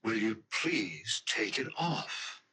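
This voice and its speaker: A man's voice: lowish voice, sing-song, slow, pushed and bright, breathy edge, exaggerated voice. A man playing an exasperated, insistent young American fiancé in a radio mystery drama, urgent and commanding, at times pleading. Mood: irritated. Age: young adult.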